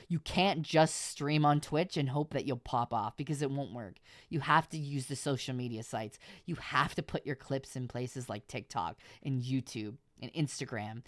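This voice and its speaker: A woman's voice: extremely low pitch, no echo, clean studio sound. A woman streamer giving advice to her viewers in informal livestream chat, repeating her points for emphasis.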